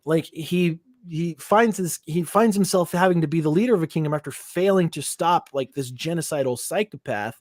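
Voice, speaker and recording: A man with a slightly high voice chats animatedly as a podcast co-host, swearing freely and leaning on "like" between thoughts. The sound is dry and close, with no room behind him. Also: moderate pace, hardly any pauses, no echo. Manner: animated, heavy swearing, lots of "like". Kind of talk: casual movie review chat.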